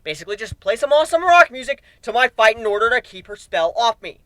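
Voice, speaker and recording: A man's voice: extremely high pitch, sing-song, fast, sharp tone, no echo, pitched above the performer's own voice, full character voice. A man voicing a brash, foul-mouthed female griffin warrior who barks urgent orders in a dramatic story reading. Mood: impatient, commanding.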